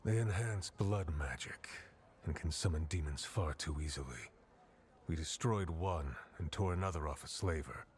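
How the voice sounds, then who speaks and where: An actor playing a secretive rebel leader in a video game, speaking in a measured, serious way. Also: male voice, extremely low pitch, slight background noise. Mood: grave. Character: grave, secretive male rebel leader called the Viper. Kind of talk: video game cutscene acting.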